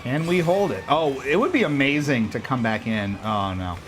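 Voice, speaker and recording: Lively joking man streaming a race. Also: medium pitch, moderate pace, faint music behind. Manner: joking, chatty, reacts out loud to the race. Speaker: livestream gamer. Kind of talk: casual gameplay commentary.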